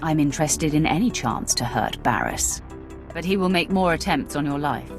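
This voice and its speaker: A woman's voice: deep voice, animated, normal speed, over music. An actress playing a cold, resolute female Sith warrior in a video game, terse and formal. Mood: assured. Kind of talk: video game cutscene acting.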